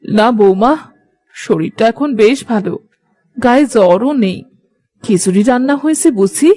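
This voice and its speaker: A woman voicing a frail, kindly old mother-in-law in a village cartoon, mild and motherly, with a husky voice. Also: medium pitch, lively intonation, moderate pace, mellow, natural voice. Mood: mild, coaxing. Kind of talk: Bengali moral-story cartoon dubbing.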